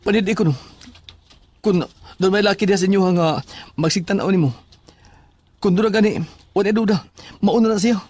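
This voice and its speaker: A woman's voice: mid-range voice, moderate pace, long pauses, a little mellow, slightly rough, natural voice. A woman playing a protective, questioning sweetheart in an audio drama. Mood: concerned.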